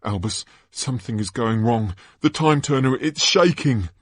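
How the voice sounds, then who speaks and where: A man's voice: on the high side, measured pace, no echo, voice pushed up. A man voicing an anxious, concerned teenage schoolboy in a storybook reading, hesitant and urgent. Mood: worried, urgent.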